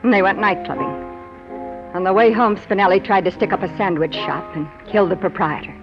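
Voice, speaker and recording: A woman's voice: mid-range voice, fairly quick, lo-fi sound, close to an everyday voice. Actress playing a guarded, worldly 1940s radio showgirl. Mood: wry, confessional. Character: guarded, worldly young nightclub showgirl on the run. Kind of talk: 1940s radio noir acting. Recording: band-limited 1940s radio with music.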